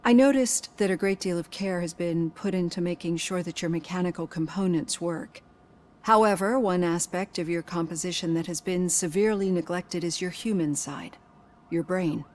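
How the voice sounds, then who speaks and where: A woman's voice: lowish voice, normal speed, a little mellow, natural voice. Woman playing an earnest police psychologist. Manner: polite and hesitant. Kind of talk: video game cutscene acting.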